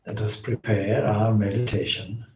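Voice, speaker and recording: Slow, low-voiced man guiding meditation. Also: fairly even intonation. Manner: measured, solemn phrasing with frequent pauses. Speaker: swami leading a guided meditation. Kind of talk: guided meditation. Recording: roomy, echoing indoor sound.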